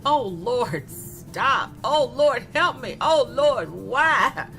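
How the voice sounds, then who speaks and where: A woman's voice: fairly high pitch, lively intonation, a bit bright, full character voice. A woman playing a gleeful, remorseless young killer in a horror audio story, chatty and flippant, stretching words for emphasis. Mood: mock pleading. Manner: conversational, flippant, giggly, with drawn-out emphasis. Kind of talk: dramatic first-person monologue in a horror audio story. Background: music.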